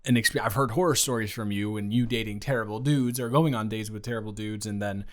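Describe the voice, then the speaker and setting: A man playing a teasing, supportive male roommate in an improvised romantic audio roleplay, talking casually and stammering, with animated outbursts. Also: on the low side, a little fast, close to an everyday voice. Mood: surprised, incredulous. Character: male roommate and friend, teasing, supportive, indignant on her behalf.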